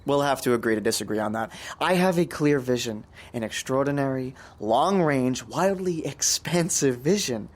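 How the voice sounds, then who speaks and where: An actor playing a scheming, self-deluded young American entrepreneur turned kidnapper in an audio drama, talking in a glib, grandiose way. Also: male voice, mid-range voice, animated, normal speed, few pauses, close to an everyday voice. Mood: grandiose, defiant.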